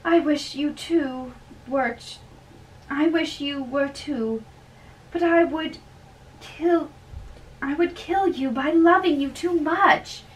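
A young adult woman giving an amateur dramatic reading of a play in a theatrical, deliberate way. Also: sits high. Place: small bedroom, indoors, seated on a bed. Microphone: camera a few metres away. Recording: distant camera mic with faint room noise.

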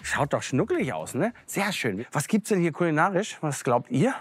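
A man's voice: a little high, normal speed. A German man hosting a restaurant visit, talking casually and asking "ne?" a lot, recorded on a lapel mic. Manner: chatty, lively, frequent "ne?" tags. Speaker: TV restaurant critic host. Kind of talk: casual on-camera chat. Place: restaurant indoors and courtyard.

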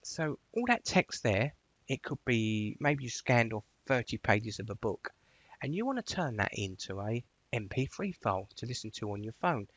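A man talks someone through software in a loose, chatty tutorial style. His voice has a noticeable vocal fry, and the close recording is dry and slightly dull. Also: mid-range voice, big pitch swings, moderate pace, dry recording. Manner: chatty, informal, rambling. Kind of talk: casual screen-share tutorial walkthrough.

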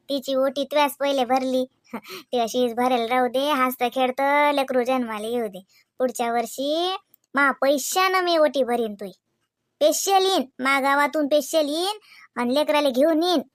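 A woman's voice: high-pitched, dry recording, clean studio sound, close to an everyday voice. A woman voicing a devout, caring, bossy village aunt in a comedy cartoon, with a homely Varhadi Marathi accent. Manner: chatty, homely, instructing.

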